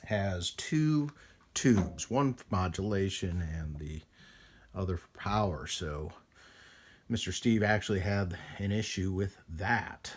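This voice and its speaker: Low, husky-voiced man rambling casually. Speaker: host demonstrating vintage radio equipment. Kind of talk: casual hands-on vlog narration.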